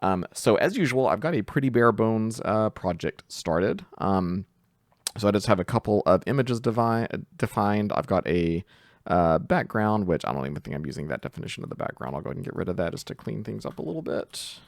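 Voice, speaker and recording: A man giving an informal screen-share software tutorial, thinking aloud hesitantly as he goes. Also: lowish voice, sing-song, a little fast, gravelly, no room sound, clean studio sound. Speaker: tutorial host, coding instructor.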